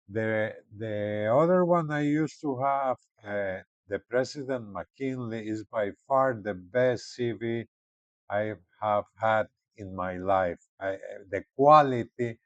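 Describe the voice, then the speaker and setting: Slow-paced man reviewing gadgets casually. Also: fairly low pitch, no echo, lo-fi sound. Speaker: product reviewer. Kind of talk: casual unboxing and product commentary.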